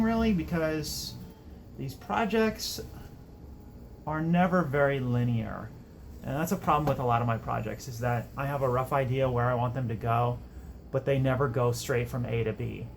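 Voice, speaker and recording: A man narrates a hands-on electronics project in a casual, unscripted ramble. His mid-pitched voice has a lot of gravelly creak, and he tends to poke fun at his own work. There is faint noise behind him. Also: normal speed. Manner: rambling, self-deprecating. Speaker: electronics hobbyist host. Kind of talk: casual workbench build narration.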